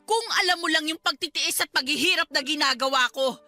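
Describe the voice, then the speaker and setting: A woman's voice: high-pitched, a little fast, bright, forceful tone, faint music behind, exaggerated voice. A woman playing a hurt, jealous wife in a Tagalog radio drama, nagging and pleading with emotion. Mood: hurt, angry. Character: hurt, jealous young wife confronting her husband.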